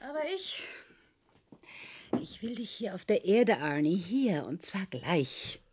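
An actress playing a stern, exasperated boss, insistent and sardonic, in a German radio drama. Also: female voice, fairly low pitch, big pitch swings, slow pace, darkish tone, a little hiss or hum. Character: stern, exasperated female boss, principled and sharp-tongued.

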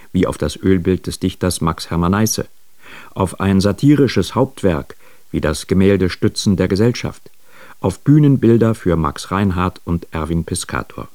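A man reading a scripted biographical introduction for radio, with deliberate, emphatic phrasing. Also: sits low, animated, darkish tone, no room sound.

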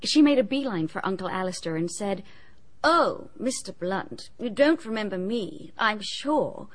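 An actress playing a forthright young society niece in a radio drama, polite but candid and vividly descriptive. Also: female voice, mid-range voice, lively intonation, a little slow. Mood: earnest.

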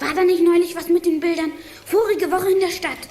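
A woman's voice: very high-pitched, steady tone, fairly quick, a little mellow, soft background music. A woman playing a chubby, jolly child radio enthusiast in a German children's radio play, chirpy and eager, on an echoey, noisy recording. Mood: eager, amused.

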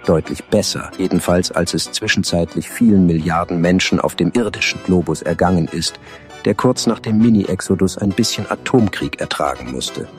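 A man's voice: deep voice, normal speed. A man narrating a scripted satirical radio drama in a dry, mock-official manner. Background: music.

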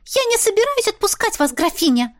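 A woman voicing a frantic, tearful young maidservant in an audiobook, shrill, wailing and pleading. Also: sing-song, brisk. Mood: sharp, defiant. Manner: shrill, wailing, overwrought delivery.

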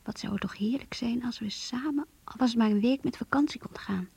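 An actress playing a dreamy, wistful young woman thinking aloud in a radio play, in a hushed husky voice with dull sound. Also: on the high side, brisk, no echo, heavily acted. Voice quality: husky, creaky. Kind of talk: radio play inner monologue.

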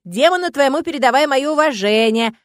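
A woman voicing a gruff, kindly old male grove spirit who clears his throat and grumbles. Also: high voice, expressive, a little fast, no room sound, clean studio sound, voice pushed up.

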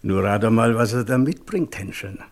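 Jovial, folksy Silesian horse dealer. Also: male voice, lowish voice, lively intonation. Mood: teasing. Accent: Silesian German. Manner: folksy, drawn-out storytelling. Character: teasing, rough, jovial country horse dealer. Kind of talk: naturalistic German radio play acting in dialect.

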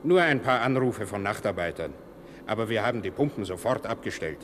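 A man's voice: lowish voice, animated, fairly quick, a bit bright. A man acting in a German radio drama as a practical, matter-of-fact waterworks supervisor, with noise behind him. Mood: concerned, assured. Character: steady, practical male night waterworks supervisor.

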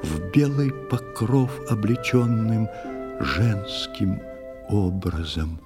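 Low-voiced male narrator over music. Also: slow. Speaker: narrator of an audio play. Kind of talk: scripted dramatic verse narration.

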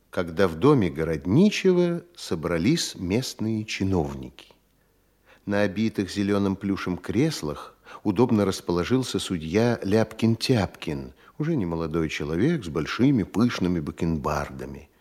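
Casting: male stage narrator with a deep, husky voice and lively, storytelling intonation. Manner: measured, descriptive storytelling. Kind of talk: scripted narration of a radio play.

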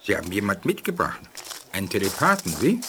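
An actor playing a wise, cautious, conciliatory alien scientist, measured and cultivated, in a 1980s German radio play. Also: male voice, lowish voice, wildly swooping pitch, fairly bright tone, a little hiss or hum, full character voice. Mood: pleased, curious.

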